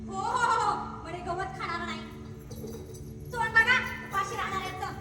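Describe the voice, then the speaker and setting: A tired, long-suffering wife with a Marathi accent, very high voice, far off in an echoey hall with music. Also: female voice, normal speed. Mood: bitter. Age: young adult. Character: weary put-upon young wife, bitter then pleading. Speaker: stage actor. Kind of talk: live stage acting. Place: large theatre stage.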